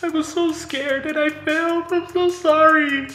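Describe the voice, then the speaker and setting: Woman voicing a theatrical, slow-talking mischievous boy. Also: high voice, steady tone, pushed and bright. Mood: fake panic. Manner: theatrical, exaggerated. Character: mischievous bored young boy, scheming prankster. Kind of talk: animated storybook role-play. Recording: roomy echo, music behind.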